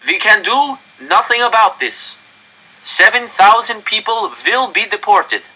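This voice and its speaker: A man voicing a desperate male council director in a story, addressing an angry crowd with loud, pleading oratory. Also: high voice, expressive, measured pace, pitched above the performer's own voice, heavily acted, low-quality recording. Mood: grave, insistent.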